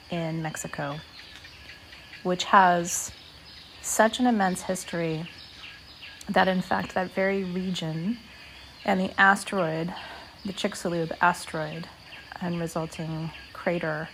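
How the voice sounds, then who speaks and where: A woman leading a guided meditation talk in a measured, deliberate way, speaking in short phrases with pauses. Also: fairly low pitch, a bit bright, a little hiss or hum.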